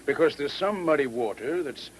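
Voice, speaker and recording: A man playing a self-assured, cryptic retired army major in a radio drama, blunt and knowing with small hesitant pauses. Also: a little high, lively intonation, lightly acted, rough recording. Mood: blunt, insistent. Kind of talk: mid-century radio drama acting. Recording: old radio recording with faint hiss.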